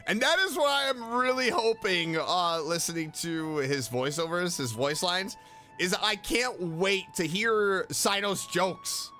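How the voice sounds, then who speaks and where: Animated, bright-voiced man reacting casually. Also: sits high, slow pace. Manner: enthusiastic, expressive. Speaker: video host, game fan. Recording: music behind.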